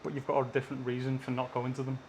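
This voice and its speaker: Guy interviewing someone, pretty monotone, mid pitch, steady questions. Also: rapid-fire, a little hiss or hum, rough recording.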